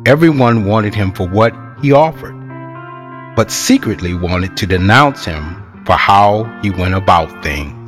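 A man giving measured, rhetorical narration for a scripted audio drama, as a reflective storyteller. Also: lowish voice.

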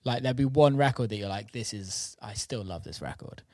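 A man's voice: fairly low pitch, brisk, darkish tone, dry recording, clean studio sound. A man chatting casually as a music producer, informal and sweary, peppering his talk with "like". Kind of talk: casual chat.

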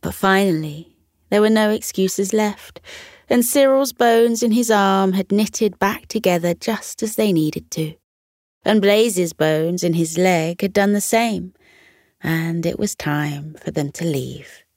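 A woman narrating a scripted children's bedtime story in a gentle, soothing manner. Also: medium pitch, slow, no echo, clean studio sound.

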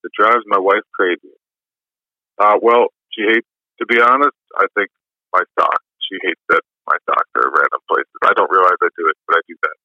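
A man with a low voice, giving easygoing, rambling interview answers full of fillers. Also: steady tone, brisk, no room sound. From New York. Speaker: musician guest. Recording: dull lo-fi dry sound.

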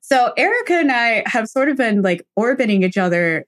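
A woman's voice: on the high side, very animated, a little fast, a bit bright. An American woman chatting casually and informally as a podcast co-host, often dropping "like" into her sentences. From United States. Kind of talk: casual chat.

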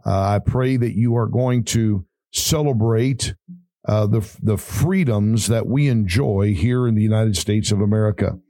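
A man who pastors and hosts a podcast, talking earnestly in a folksy preaching style, his voice raspy and hoarse. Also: lowish voice, measured pace, dark tone, no echo. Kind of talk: casual preaching podcast talk.

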